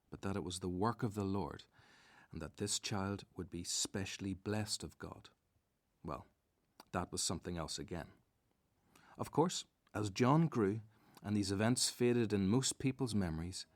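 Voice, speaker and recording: A man with a lowish voice narrates a scripted story for radio. The sound is dry and close, and he tells it as a storyteller would. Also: dry recording.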